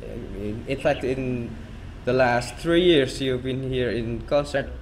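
Slow, halting male interviewer. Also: medium pitch, slight background noise, poor audio. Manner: hesitant, halting questions with many fillers and restarts. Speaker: interviewer, host. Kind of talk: casual interview over video call. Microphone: headset.

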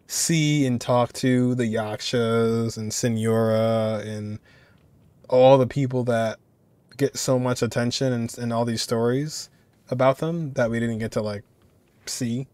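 A young man hosts a casual game reaction, thinking aloud in an informal, musing way. His voice is mid-pitched and recorded dry, with no room sound. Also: steady tone, slow pace, darkish tone, no room sound. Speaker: reaction video host.

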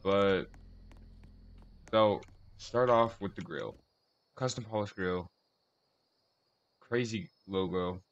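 Low-voiced man giving a casual tour. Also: takes it slowly, pauses often, muffled recording. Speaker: host of a car collection video channel. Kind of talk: casual video game car tour commentary.

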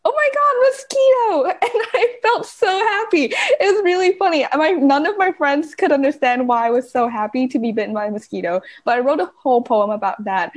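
Young woman from Vietnam, podcast guest; higher voice, giggly and animated storyteller. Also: fairly quick, few pauses. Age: young adult. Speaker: guest, climate journalist. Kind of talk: casual interview chat.

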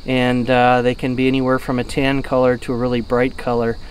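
Calm middle-aged man teaching outdoors. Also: mid-range voice, fairly quick, slight background noise. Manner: calm, plain explanatory delivery with fillers. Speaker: fly fishing guide. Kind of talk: outdoor instructional talk to camera. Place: outdoors by a river. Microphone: camera at close range.

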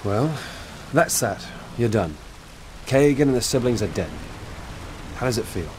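An actor playing a level-headed advisor and ally in a video game cutscene, earnest and matter-of-fact. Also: male voice, medium pitch, animated, measured pace, noisy background, lightly acted. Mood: calm, practical.

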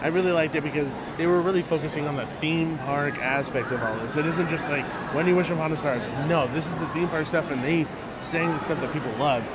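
A man co-hosting a podcast, chatting casually and emphatically like an excitable fan. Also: on the high side, a little fast, hardly any pauses, heavy background noise. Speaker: podcast co-host. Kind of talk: casual podcast chat about a fan event. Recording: loud crowd din behind.

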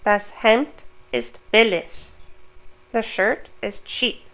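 Slow, careful woman teaching vocabulary. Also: medium pitch, fairly bright tone. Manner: reads each word and example sentence slowly, then gives the English. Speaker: German language teacher. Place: small room indoors. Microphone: studio microphone on boom arm.

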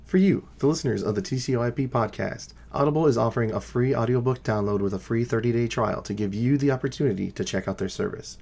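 A man's voice: mid-range voice, quick pace, creaky, few pauses, a little mellow, soft background music. A man reading a scripted sponsor advertisement as a podcast announcer. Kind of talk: scripted ad read.

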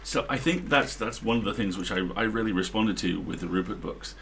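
A middle-aged man co-hosting a casual discussion, building his points in halting, repeated phrases. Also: on the low side, gravelly, a bit bright. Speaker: livestream co-host. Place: small room at home, indoors. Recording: noisy livestream room sound.

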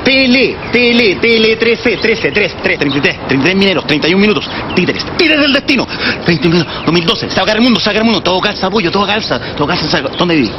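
A man's voice: sits high, big pitch swings, fast, muffled recording, noisy background. An actor playing a frantic man who rambles about the end of the world, repeating himself obsessively. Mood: manic. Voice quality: rambling, manic repetition. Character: frantic doom-prophesying man rambling on the street.

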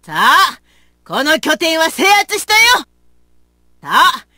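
A woman's voice: high-pitched, big pitch swings, slow pace, pushed and bright, no room sound, studio quality, exaggerated voice. A woman voicing a plucky, folksy big-sisterly warrior in a video game, with tough motherly phrasing. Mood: spirited. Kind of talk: video game battle voice lines.

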